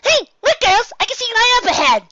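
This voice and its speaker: A man voicing a bubbly, excitable young female pony with a chirpy manner, in dull storybook audio. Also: very high voice, brisk, dry recording, voice pushed up, full character voice. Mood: excited.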